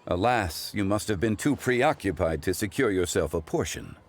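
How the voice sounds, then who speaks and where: A man voicing an ancient, learned gentleman who speaks in a measured, formal, philosophical way. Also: sits low, animated. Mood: composed.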